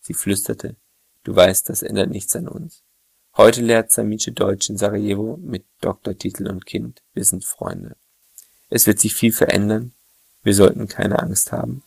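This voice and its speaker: A man reads a personal essay in an earnest, plain way. His voice sits fairly low and carries a strong gravelly fry. The sound is close and dry, with no room around it. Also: steady tone, unhurried, a little mellow, no room sound. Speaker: essay narrator. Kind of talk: reflective first-person narration.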